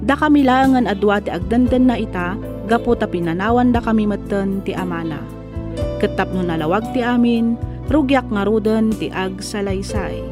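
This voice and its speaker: A woman's voice: medium pitch, moderate pace, close to an everyday voice. A woman playing a sombre mother who narrates her life story as a scripted radio drama introduction. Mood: solemn. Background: music.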